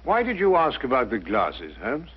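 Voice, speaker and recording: A man's voice: mid-range voice, huge pitch swings, moderate pace, breathy edge. An actor playing a curious, direct male doctor, a detective's companion, in a 1950s mystery drama. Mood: puzzled. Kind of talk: 1950s television mystery acting. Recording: dull, muffled 1950s soundtrack.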